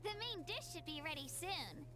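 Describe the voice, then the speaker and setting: A woman's voice: sing-song, normal speed, background noise. A woman voicing a small, eager, chatty childlike fairy companion in a video game. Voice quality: squeaky, childlike.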